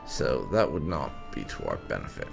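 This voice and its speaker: A man's voice: very deep voice, sing-song, moderate pace, creaky, over music. A man giving roleplay playthrough commentary on a video game, musing with wry understatement. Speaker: gaming channel host.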